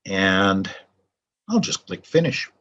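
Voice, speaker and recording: An older man, a translator at his home desk, casually talking through a software tutorial with many ums and uhs. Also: sits low, very animated, takes it slowly, clean tone, dull audio. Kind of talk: casual screen-recorded tutorial.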